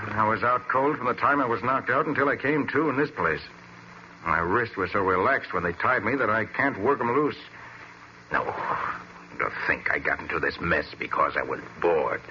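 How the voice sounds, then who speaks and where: An actor playing a plain-spoken, self-reproaching captive detective with an American accent, in 1940s radio style. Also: male voice, lowish voice, expressive, normal speed, lightly acted. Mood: rueful. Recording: muffled, noisy old radio recording.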